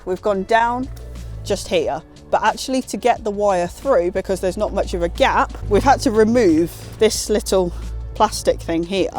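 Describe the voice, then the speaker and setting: A woman's voice: lowish voice, a little mellow. A British woman giving a casual, hands-on tutorial in an informal, self-deprecating way. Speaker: presenter of a car installation guide.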